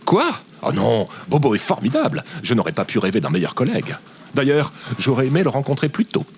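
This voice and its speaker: A man's voice: mid-range voice, huge pitch swings, brisk, a little hiss or hum. A man playing a cheerful, earnest space station operator in an audio comedy, polite and naively enthusiastic. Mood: friendly, earnest. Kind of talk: scripted audio comedy fiction acting.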